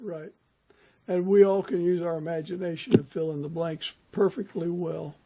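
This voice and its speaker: A man's voice: a little high, steady tone, normal speed, vocal fry, a crowd in the background, low-quality recording. A man hosting a podcast, asking questions and chatting in a conversational interview style.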